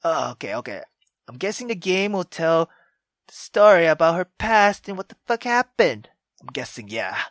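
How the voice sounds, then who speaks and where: A young man playing a visual novel on camera, reacting loudly and excitably with lots of swearing. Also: fairly high pitch, normal speed, no room sound, clean studio sound. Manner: loud, excitable, heavy swearing. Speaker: gaming YouTuber. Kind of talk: let's-play commentary.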